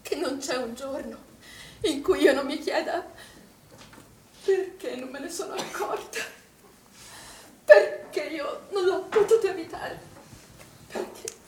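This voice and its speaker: An actress playing an anguished, doubt-ridden middle-aged woman in charge, speaking in an agitated, halting stage-drama style and repeating her phrases. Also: high-pitched, expressive, a little mellow. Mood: distressed, urgent. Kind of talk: stage drama acting, emotional monologue. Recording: roomy, distant theatre recording, faint noise.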